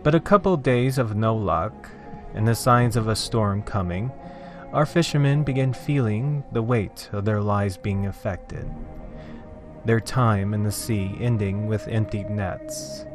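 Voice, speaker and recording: A man narrating a scripted horror story in a theatrical, deliberate, dramatic way, with drawn-out phrases. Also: on the low side. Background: background music.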